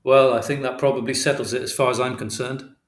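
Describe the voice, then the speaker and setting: Storybook police officer done by a male narrator: calm, official, brisk, slightly raised voice, roomy echo. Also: mid-range voice, natural voice. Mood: firm, reasonable.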